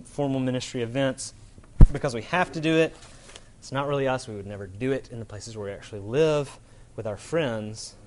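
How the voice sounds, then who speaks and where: A man preaching as a pastor in an informal, conversational sermon style, talking colloquially and often checking in with his listeners. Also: mid-range voice. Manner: casual, colloquial, checks in with "right?".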